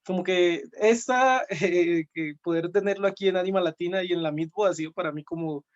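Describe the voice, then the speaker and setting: Rambling young man, slightly high voice. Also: a little fast, lo-fi sound. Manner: rambling, many fillers and restarts. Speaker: student filmmaker. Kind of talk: panel answer on a video call.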